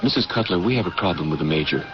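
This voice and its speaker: An earnest, scheming military aide in a vintage radio drama. His voice is on the low side and his manner deferential yet persuasive. The recording is dull, with faint noise. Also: expressive, racing. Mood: worried, confiding. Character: earnest, scheming political aide to a Civil War general. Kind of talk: old-time radio drama acting. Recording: muffled, noisy old radio broadcast recording.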